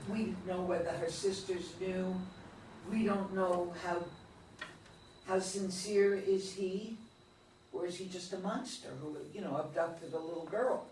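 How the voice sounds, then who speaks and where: A man with a notably high voice gives blunt feedback at a play reading, listing everything the play leaves unanswered. The recording is echoey and taken from across the room. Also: fairly even intonation, a little slow, darkish tone, far from the mic, slight background noise. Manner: blunt, lists open questions. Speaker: audience member giving feedback. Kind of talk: group discussion after a play reading.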